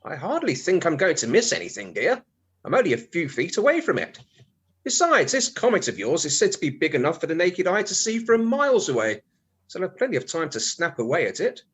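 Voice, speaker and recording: An actor playing a cheeky, henpecked middle-aged British husband in a comic radio play, confiding in a mock-apologetic way full of innuendo. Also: male voice, on the high side, huge pitch swings, fairly quick, slightly rough, heavily acted. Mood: dry, mock-meek. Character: cheeky, henpecked, sex-starved husband with a telescope.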